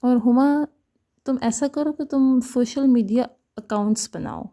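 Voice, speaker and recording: An encouraging young woman friend in a romantic story, voiced by a female narrator, gives practical advice. Her voice is raised in pitch, with a mellow tone. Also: measured pace.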